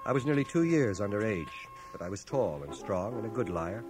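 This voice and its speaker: A man's voice: fairly low pitch, expressive, fairly quick, over music, close to an everyday voice. A man acting as an earnest, stubborn fellow from a small town, the lead and narrator of a radio drama taped on cassette. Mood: wry, reflective. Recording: 1980 radio drama from home cassette transfer.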